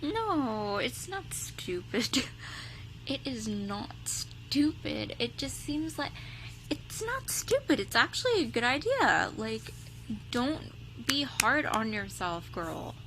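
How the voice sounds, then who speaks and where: A woman's voice: on the high side, slow. A young woman chatting casually as a vlogger, rambling with lots of "like" and "um". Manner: chatty, full of "like" and "um" fillers. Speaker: vlogger reacting to a video. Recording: steady background hum.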